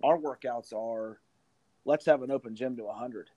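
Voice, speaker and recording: A man's voice: mid-range voice, moderate pace, warm tone, no echo, highs cut off. A man speaking as a college basketball coach in an interview, explaining his approach emphatically and repeating points for stress. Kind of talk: interview answers about coaching.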